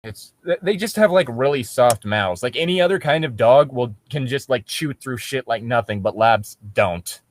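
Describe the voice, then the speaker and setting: A man's voice: mid-range voice, animated, normal speed, hardly any pauses. A man casually riffing as he reads a story aloud, with a sarcastic, blunt, sweary manner. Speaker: co-host of a comedic fan fiction reading show.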